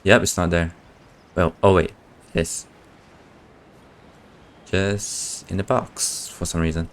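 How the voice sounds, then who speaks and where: A man's voice: low voice, level tone, slow, slight background noise. A man giving casual live playthrough commentary as a game streamer, with offhand, laconic reactions.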